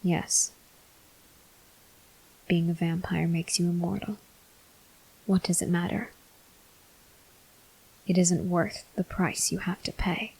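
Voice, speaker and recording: A woman voicing a brooding, weary male vampire soldier in an ASMR roleplay, in a breathy, husky whisper with slow, grave delivery. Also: on the low side, flat delivery, darkish tone, full character voice, rough recording. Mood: stern, disbelieving.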